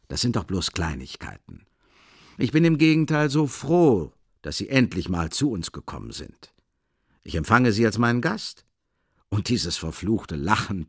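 A man's voice: creaky, husky, no echo, studio quality, heavily acted. Man doing a fussy, giggly stout magistrate. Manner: fussy, ingratiating, jumping up and down in pitch. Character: stout, nervous, giggly middle-aged male magistrate.

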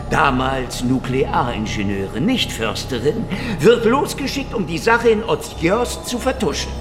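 A hardboiled Soviet detective from a noir radio play, sardonic and defiant. His pitch moves widely as he speaks, in a long reverberant space with loud music underneath. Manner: sardonic, dramatic. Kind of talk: German radio drama acting with noir first-person narration.

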